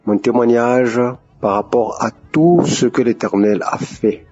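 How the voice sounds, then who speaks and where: A man's voice: mid-range voice, unhurried, darkish tone, breathy edge, muffled recording. A man giving an earnest, hesitant religious testimony. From Republic of the Congo. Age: 50s.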